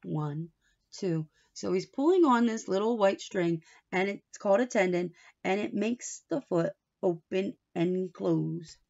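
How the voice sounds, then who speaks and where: A woman reading a picture book aloud to young children like a teacher, playful and expressive, with asides, questions and some Spanish words mixed in. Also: medium pitch, a little slow, creaky, lo-fi sound. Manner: playful, expressive, with gasps and exaggerated reactions; drops Spanish words in and translates them.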